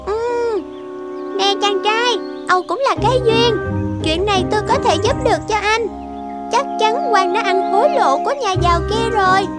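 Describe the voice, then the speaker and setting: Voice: very high voice, normal speed. An actor voicing a clever, confident little rabbit judge in a children's fairy-tale cartoon, speaking in a reassuring, articulate way. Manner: self-assured, reassuring, articulate. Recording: loud music under the voice.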